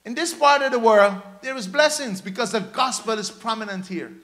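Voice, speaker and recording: Guyanese man, pastor at the pulpit. Very high-pitched, forceful delivery, exhorting and driving phrases home by repetition. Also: animated, normal speed. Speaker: pastor preaching. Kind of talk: sermon. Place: church stage, indoors. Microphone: handheld microphone.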